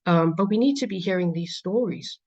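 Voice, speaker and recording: A woman with a South African accent giving a conference talk as a patient advocate, pausing often with fillers and restarts. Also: fairly low pitch, a little mellow. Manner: hesitant, many fillers and restarts. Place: lecture hall. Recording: dull lo-fi sound.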